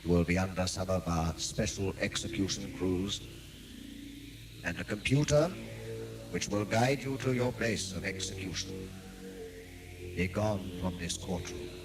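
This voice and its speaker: Casting: stern, doom-laden judge played by a man, low-voiced and measured, over clear background music. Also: fairly even intonation. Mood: menacing, solemn. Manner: solemn, portentous. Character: stern, grave male judge pronouncing doom. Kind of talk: sci-fi audio drama acting. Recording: second-generation tape copy under music.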